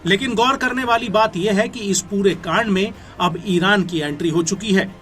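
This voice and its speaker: A man's voice: sits high, fairly bright tone. A man with an Indian accent reading scripted news narration in an emphatic, formal newsreader delivery. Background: background music.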